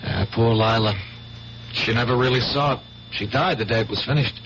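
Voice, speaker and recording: An actor playing an airy, oblivious portrait painter, conversational and upbeat, in a 1970s radio drama. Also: male voice, mid-range voice, moderate pace, a bit bright, natural voice. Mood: sociable, wistful. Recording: dull, noisy old radio recording.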